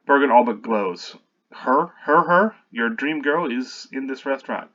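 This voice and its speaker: A man's voice: mid-range voice, expressive, measured pace, fairly bright tone, lo-fi sound. A man reading his own screenplay aloud while revising it, stopping often to correct himself and add wry asides.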